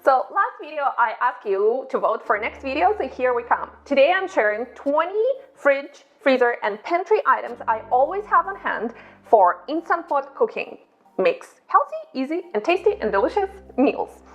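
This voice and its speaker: High-voiced Ukrainian woman, chatty cooking host. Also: big pitch swings, normal speed, a bit bright, muffled recording. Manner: upbeat, chatty, slightly non-native phrasing. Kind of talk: casual cooking demonstration to camera. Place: home kitchen.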